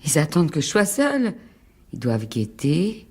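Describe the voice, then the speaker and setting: Radio drama casting: a fragile, persecuted wife, husky with a creaky edge, halting and pleading. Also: female voice, low-pitched, very animated, darkish tone, exaggerated voice. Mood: anxious, insistent. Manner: halting, pleading, repeats words. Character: frightened, fragile wife, jealous and insistent, feeling persecuted. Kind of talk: French radio drama acting.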